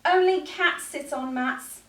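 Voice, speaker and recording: Bossy know-it-all picture-book cat played by a woman reader: bright, slow, emphatic rule-giving, in a roomy recording. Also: high-pitched, far from the mic, voice pushed up. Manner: emphatic, insistent, drawn-out delivery. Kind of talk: children's picture book read-aloud.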